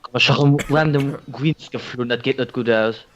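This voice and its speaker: A man talking with his teammates during an online race, reacting loudly to hits and items. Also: medium pitch, fairly even intonation, brisk, lo-fi sound. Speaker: team player.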